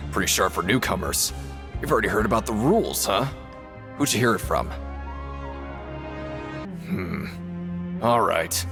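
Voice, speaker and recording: A man's voice: on the low side, expressive, measured pace, gravelly, pauses often, music in the background. A man playing an affable, folksy veteran prison factory worker in a video game, sociable and a little self-important. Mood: sly. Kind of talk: animated video game voice acting.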